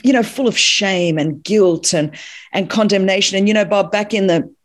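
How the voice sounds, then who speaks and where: A woman in her fifties with an Australian accent, telling her life story as an interview guest, animated and often laughing. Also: medium pitch, moderate pace. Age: 50s.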